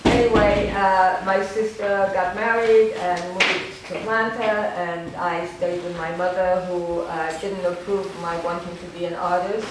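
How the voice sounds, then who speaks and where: An older woman, a German native speaker from Romania, giving an informal talk about her life, halting and rambling with lots of fillers and wry self-deprecating humor. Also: lowish voice, fairly even intonation. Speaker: artist giving a gallery talk. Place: art gallery room. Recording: echoey gallery, distant, noisy ambience.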